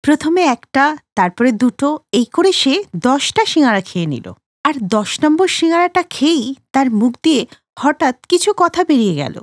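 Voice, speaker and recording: A woman narrating a children's cartoon story in a steady storytelling manner. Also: high voice, fairly quick, dry recording, clean studio sound.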